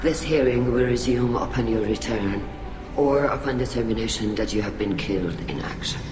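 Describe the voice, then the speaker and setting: An actor playing a formal, measured male alien admiral chairing a hearing in a game cutscene. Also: medium pitch, music in the background, lightly acted. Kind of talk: video game cutscene dialogue. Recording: echoey game mix with background noise.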